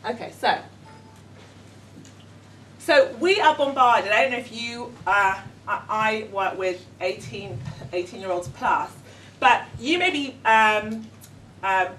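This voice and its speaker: A woman's voice: a little high, expressive, slow pace. London woman giving an echoey workshop talk. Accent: London English. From London, England. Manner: hesitant, many ums, warm and self-deprecating. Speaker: educator leading a workshop. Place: conference room with audience. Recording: echoey room, speaker far from mic, faint noise.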